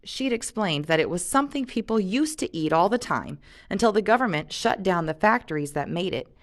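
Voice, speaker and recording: Lower-pitched woman reading aloud expressively. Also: lively intonation, fairly quick. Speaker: reader leading a read-aloud and discussion. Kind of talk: expressive audiobook narration with casual commentary.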